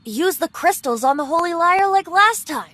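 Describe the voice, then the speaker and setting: High, lilting young bard boy. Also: lively intonation, moderate pace, sharp tone, dry recording, exaggerated voice. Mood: thoughtful. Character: playful androgynous young bard boy, theatrical and whimsical. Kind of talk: video game voice acting.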